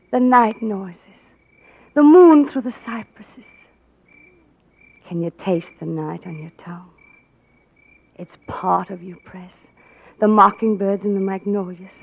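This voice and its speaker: A proud, scheming young belle in old radio drama style. Her voice has plenty of creak. The recording is muffled and dated. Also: medium pitch. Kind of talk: 1940s radio drama acting.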